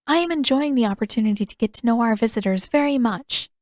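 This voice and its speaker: A woman voicing a polite, gentle, composed teenage princess in an audiobook story. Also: fairly high pitch, quick pace, no echo, studio quality, lightly acted. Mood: politely strained.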